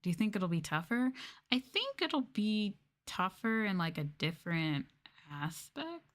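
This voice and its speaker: A young adult woman chatting casually and softly as an ASMR streamer, candid and mildly sweary. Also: mid-range voice, sing-song, a little slow. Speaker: VTuber streamer. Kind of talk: soft-spoken ASMR casual chat.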